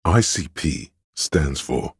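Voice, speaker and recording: A learned, pedantic man's inner voice narrating trivia in a video game. The pitch is extremely low, with heavy gravel in it. The delivery is measured and matter-of-fact, recorded very dry and close. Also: very animated, dry recording, exaggerated voice. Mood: informative, dry. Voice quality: very deep, gravelly, husky. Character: pedantic encyclopedic inner voice, a deep-voiced know-it-all man.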